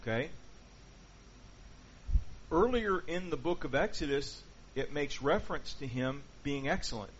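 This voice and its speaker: Man, Bible class teacher, slightly raised pitch, questions the group often, lo-fi recording. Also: animated, a little slow, pauses often. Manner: asks the group questions and reviews answers. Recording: dull lo-fi with faint hiss.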